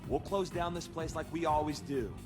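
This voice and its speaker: Man playing a smug, high-voiced boastful wrestler. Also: normal speed, music in the background, lightly acted. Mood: smug, domineering. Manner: bragging, self-satisfied. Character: boastful, smug young male All-American wrestler. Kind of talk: video game cutscene acting.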